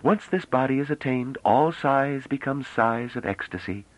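A man's voice: medium pitch, lively intonation, normal speed, no echo, voice pushed up, close to an everyday voice, rough recording. A man voicing a playful, self-assured young woman. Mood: teasing. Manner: teasing, self-assured, slightly formal.

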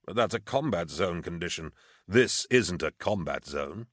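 A man voicing a gruff, commanding military commissar in an audiobook, dry and sardonic. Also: deep voice, lively intonation, measured pace, a bit bright, no room sound, full character voice. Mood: wry, grumbling. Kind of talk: audiobook character acting.

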